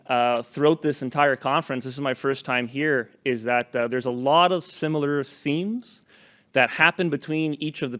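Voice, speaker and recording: A man's voice: medium pitch, clear, resonant tone. A young man giving a casual, chatty conference talk into a microphone, with lots of fillers and restarts. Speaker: conference presenter. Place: conference room with live audience. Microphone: handheld or podium microphone.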